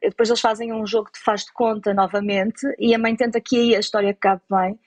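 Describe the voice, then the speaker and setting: A woman with a European Portuguese accent talking casually and reflectively, in a halting, thoughtful way. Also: mid-range voice, little pitch movement. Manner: halting, thoughtful, repeats words.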